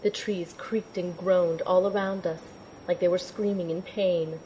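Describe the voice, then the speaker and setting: A woman's voice: on the low side, fairly even intonation, a little slow, dark tone, a little hiss or hum, close to an everyday voice, rough recording. An actress playing a young heroine who narrates her adventure in an earnest, dramatic first-person style. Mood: suspenseful.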